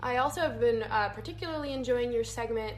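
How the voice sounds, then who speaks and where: A woman's voice: a little high, fast. A woman co-hosting a radio interview in a casual, chatty style. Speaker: radio show co-host, interviewer. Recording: noticeable background noise.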